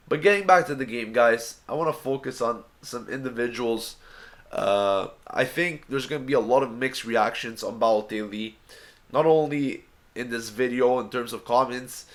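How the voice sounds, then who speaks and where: A man's voice: mid-range voice, a bit bright. A man with a bad cold hosting a fan channel, giving an earnest, opinionated review of a football match he just watched.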